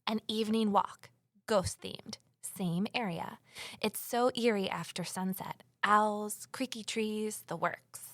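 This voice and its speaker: An actress playing a determined, resourceful amateur sleuth in an audio drama, brisk and persuasive as she narrates and talks. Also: mid-range voice, fairly even intonation, fairly bright tone, dry recording. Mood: eager, persuasive. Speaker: narrator and lead character.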